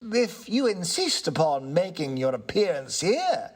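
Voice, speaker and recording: A man puts on a fussy gentleman tenant from a storybook. He is high-pitched and nervous, with wildly swooping intonation. His manner is courteous and hesitant. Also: big pitch swings, a little slow, exaggerated voice. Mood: politely reasoning. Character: nervous, polite, reasonable male tenant, frightened then chatty. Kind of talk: theatrical storybook character reading.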